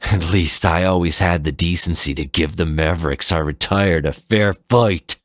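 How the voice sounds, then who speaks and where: A man's voice: low-pitched, normal speed, fairly bright tone, no room sound, studio quality. A man voicing an honorable veteran warrior, earnest and imploring, in a dramatic story reading. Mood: indignant. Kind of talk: dramatic fan fiction reading.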